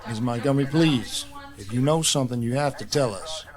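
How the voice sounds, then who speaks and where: A firm, official policeman, played by an actor in an audio drama. His voice sits in a middle register, with a clear bustle of chatter behind him. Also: medium pitch, fairly quick, close to an everyday voice. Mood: stern. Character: stern, businesslike police officer pressing a witness.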